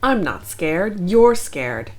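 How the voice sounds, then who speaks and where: A nervous boy bear from a picture book, acted out by a woman, fretting in a lively, swooping voice. He is timid and worried, and faint music plays behind. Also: lowish voice, takes it slowly, heavily acted. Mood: defensive. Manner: fretful, listing worries and denying fear. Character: easily scared, worrying male bear.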